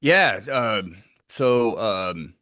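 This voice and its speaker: A man from Scotland with a Scottish accent, a guest chatting casually over a video call in a relaxed way. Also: on the low side, very slow, no echo, clean studio sound. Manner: relaxed, chatty, with fillers and laughs. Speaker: guest researcher.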